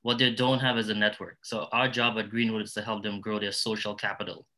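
A man's voice: fairly low pitch, level tone, a little fast, pushed and bright. A middle-aged man with a Trinidadian accent, speaking as a nonprofit founder on a discussion panel. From Trinidad and Tobago. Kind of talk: panel discussion talk, personal stories.

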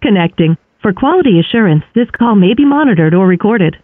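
A woman's voice: medium pitch, animated, a little fast, slightly rough. A woman's voice reading scripted automated menu prompts over the phone. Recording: narrow dry phone line.

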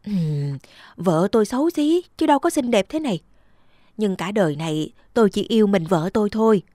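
A woman's voice: mid-range voice, animated, moderate pace, dark tone, no echo, studio quality, natural voice. A woman voicing an earnest, devoted young peasant husband in a cartoon folktale, exclaiming as he talks. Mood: astonished.

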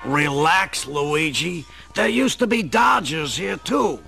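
An actor playing a blunt, wry older brother in a radio play. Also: male voice, on the high side, lively intonation, takes it slowly, creaky, sharp tone, faint music behind, exaggerated voice. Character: blunt, wisecracking protective older brother from Brooklyn.

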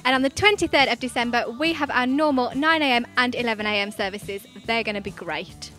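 A woman's voice: a little high, a little fast, fairly bright tone, soft background music. A young woman presenting church news in a cheerful, chatty way, speaking into a handheld mic in a studio. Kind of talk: scripted church announcements. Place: studio in front of a green screen.

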